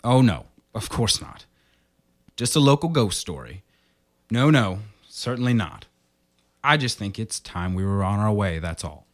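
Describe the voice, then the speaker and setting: A low-pitched, uneasy husband, played by a man in a radio horror play. He sounds like someone confiding in a diary and brushing off fears he half believes. The delivery stays natural and conversational. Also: big pitch swings, a little slow. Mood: reassuring, uneasy. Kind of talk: radio horror drama acting with diary narration.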